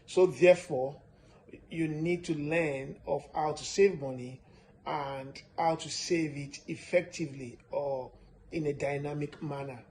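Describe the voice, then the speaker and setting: A man from Nigeria giving a steady, repetitive finance lesson, stressing his points with frequent "uh" fillers. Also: fairly high pitch, measured pace. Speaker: personal finance presenter. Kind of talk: instructional talk to camera.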